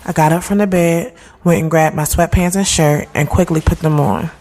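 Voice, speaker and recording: A woman's voice: sits low, steady tone, moderate pace, a little mellow, slight background noise, natural voice. A woman playing a sassy, candid thirty-year-old who tells her own story in slangy, conversational narration. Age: 30s. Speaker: narrator and lead of an audio drama series. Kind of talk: first-person storytelling narration, conversational urban drama.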